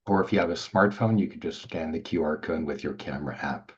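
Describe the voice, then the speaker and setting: A man's voice: very deep voice, brisk. An older man from Montreal co-hosting a webinar over a video call, chatting loosely and greeting people by name. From Montreal, Canada. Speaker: organization founder and webinar co-host. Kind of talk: casual webinar chat. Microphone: video call laptop mic. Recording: dull, lo-fi video call audio.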